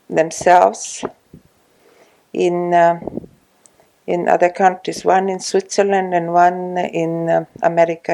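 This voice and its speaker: A woman in her sixties, from Estonia, speaking English with an Estonian accent in an oral history interview at her home, slow and hesitant with many pauses. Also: fairly low pitch, vocal fry, warm tone, poor audio. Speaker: retired social worker interviewee.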